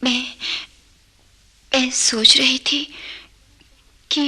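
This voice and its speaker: An actress playing an anxious young wife and daughter-in-law in a radio drama, speaking haltingly and tentatively. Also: female voice, high voice, steady tone, bright, forceful tone, breathy edge, full character voice. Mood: worried, hesitant.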